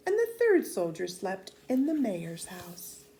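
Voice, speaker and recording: Slow, expressive woman storyteller. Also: medium pitch, slight background noise, poor audio. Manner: expressive, dramatic emphasis. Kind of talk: children's storybook read-aloud.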